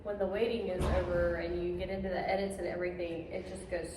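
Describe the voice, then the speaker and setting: A woman's voice: on the low side, flat delivery, quick pace, music in the background. A woman co-hosting an interview casually, encouraging the guest with short responses. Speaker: podcast co-host. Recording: echoey distant mic with noise.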